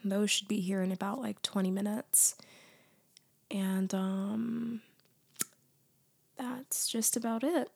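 A young woman in a soft, often whispered ASMR girlfriend roleplay, drowsy and close to the mic, her voice worn down as she loses it to a cold. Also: mid-range voice, fairly even intonation, slow, vocal fry, pauses often, husky. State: losing her voice, congested.

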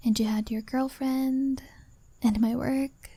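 A woman voicing a shy young woman who confesses her feelings to a younger friend, speaking softly and often in a whisper. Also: high-pitched, fairly even intonation, slow, husky, dry recording, heavily acted. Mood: nervous. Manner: soft, hesitant, often whispered. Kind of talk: ASMR-style roleplay monologue.

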